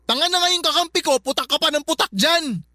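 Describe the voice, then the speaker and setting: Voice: high-pitched, quick pace, pushed and bright, no room sound. A performer playing a lazy, sarcastic young male live-in boyfriend in a radio drama, trading insults and giving orders. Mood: angry. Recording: radio drama with faint background music.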